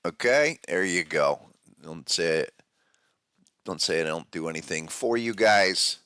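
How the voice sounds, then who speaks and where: A man hosting a live podcast about trading charts, talking in a casual, loose way with a head cold that deepens his voice. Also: expressive, a little slow, pushed and bright, clear, resonant tone, no room sound. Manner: casual, loose, with fillers and restarts. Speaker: podcast host. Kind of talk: live-stream market analysis chat.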